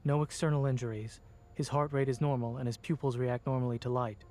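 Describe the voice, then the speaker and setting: An actor playing a composed, modest young doctor in a game, measured and formal in speech. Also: male voice, mid-range voice, little pitch movement, darkish tone, lightly acted. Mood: clinical.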